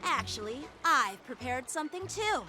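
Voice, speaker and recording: A teenage boy sword mentor from an anime game. His voice is on the high side and very animated, with formal courtesy and a smug competitive edge. Music plays behind him. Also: normal speed, full character voice. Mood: earnest.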